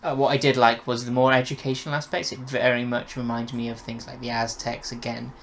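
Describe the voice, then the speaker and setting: A man's voice: medium pitch, flat delivery, fairly quick, creaky, fairly bright tone. A man in his twenties hosting a casual review chat, sitting close to the mic in a small bedroom. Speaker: host of review show. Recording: noisy room ambience.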